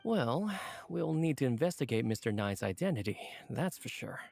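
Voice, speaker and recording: Polite wordy editor in a game, bit gravelly, animated pitch, explains stuff earnestly. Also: male voice. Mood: earnest, explanatory. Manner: measured, courteous, explanatory. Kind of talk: video game character dialogue.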